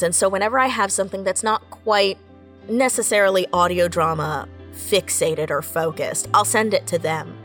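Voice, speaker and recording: A woman giving casual interview answers as an audio drama writer, animatedly acting out her own thoughts as she tells things. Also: on the low side. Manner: animated storytelling, acts out own thoughts in quotes.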